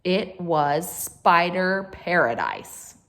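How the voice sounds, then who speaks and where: A woman's voice: fairly low pitch, huge pitch swings, very slow, gravelly, a bit bright, a little hiss or hum. A woman reading a picture book aloud to children as a storyteller, expressively, with chatty asides to her listeners.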